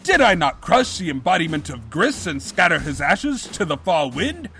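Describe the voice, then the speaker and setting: A man's voice: fairly high pitch, big pitch swings, moderate pace, bright, forceful tone, faint music behind. An actor playing a pompous evil pony king, grandiose yet cowardly, in a bombastic comedic audio drama style. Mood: indignant, grandiose. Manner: bombastic, theatrical declarations.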